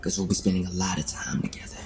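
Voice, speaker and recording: A man acting as a smooth-talking, insinuating predator with an understated manner in a crime audio drama. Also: sits low, little pitch movement, quick pace, whispered, lightly acted.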